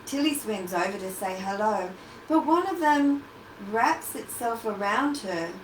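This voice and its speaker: A woman's voice: on the high side, expressive, measured pace. A woman hosting storytime, reading a picture book to young children with questions and asides to the listeners. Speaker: children's storytime host and teacher. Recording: roomy, distant, noisy livestream audio.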